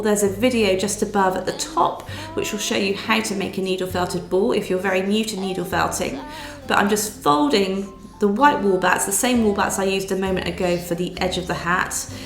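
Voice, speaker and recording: A woman, nasal and croaky from a cold, patiently talking through a needle felting tutorial step by step. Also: mid-range voice. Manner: patient step-by-step explaining with fillers. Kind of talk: craft tutorial voice-over. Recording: roomy sound with soft music behind.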